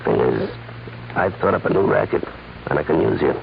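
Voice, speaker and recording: A man's voice: deep voice, expressive, normal speed, gravelly, exaggerated voice. An actor playing a sly, smug holdup man with coy hesitations and chuckles in a 1940s radio crime drama. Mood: scheming. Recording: muffled, hissy 1940s radio recording.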